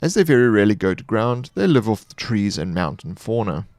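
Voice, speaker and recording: A man reading scripted fantasy monster lore as a narrator, in a measured, formal storytelling manner. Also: on the low side, sing-song, a little mellow, dry recording, clean studio sound.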